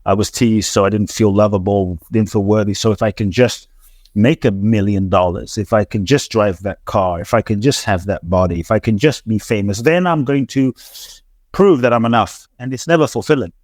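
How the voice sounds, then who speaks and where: Man, low voice, earnest, reflective, stammering restarts, dry close sound, interview guest. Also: normal speed, darkish tone, dry recording. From grew up in London. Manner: earnest, repetitive, frequent restarts and stammered repeats. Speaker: transformational teacher, podcast guest.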